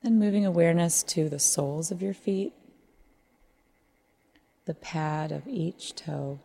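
A woman leading a guided body scan meditation, calm and unhurried, leaving long pauses between phrases. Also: on the low side, creaky, breathy edge.

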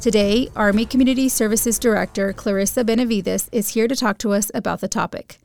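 A woman hosting an interview segment, delivering a scripted intro and clear prepared questions. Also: mid-range voice, steady tone, a little fast, a little mellow, faint music behind. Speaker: host.

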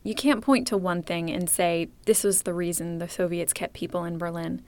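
A woman hosting a podcast, reading scripted documentary narration in a clear, measured storytelling manner. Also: on the low side, steady tone, darkish tone, dry recording. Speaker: podcast host.

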